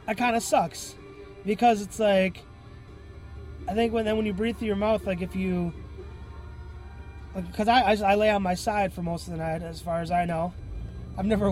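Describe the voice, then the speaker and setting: High-voiced, stuffy-nosed man rambling. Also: a little mellow. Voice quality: stuffy, congested nose. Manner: clears throat and sniffs often; rambling, many fillers and self-corrections. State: tired. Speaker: podcast host. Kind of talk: rambling solo podcast monologue.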